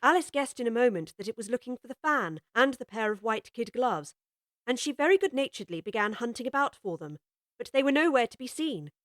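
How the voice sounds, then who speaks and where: A woman narrating a classic children's story in a measured, gently expressive way. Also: a little high, dry recording, studio quality.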